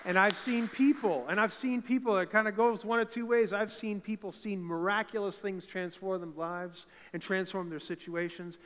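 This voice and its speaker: Man, pastor from eastern Canada, big voice pitched a bit high, fervent sermon, church hall reverb. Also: steady tone, moderate pace, hardly any pauses, slight background noise. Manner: fervent, builds to loud emphatic peaks. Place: church sanctuary.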